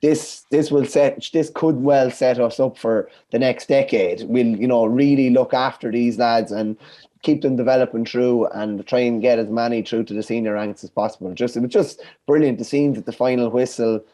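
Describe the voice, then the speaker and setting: An enthusiastic Irish man co-hosts a sports podcast from home over a webcam. His voice is mellow and warm, a touch croaky today. He talks in a chatty, eager way. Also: mid-range voice, fairly even intonation, moderate pace. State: slightly croaky voice. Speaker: podcast co-host. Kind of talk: casual sports chat. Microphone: webcam video call.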